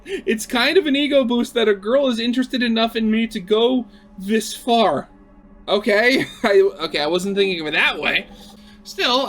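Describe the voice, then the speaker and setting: A young man reading a visual novel aloud as a let's play host, expressive and breaking into casual asides. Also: high-pitched.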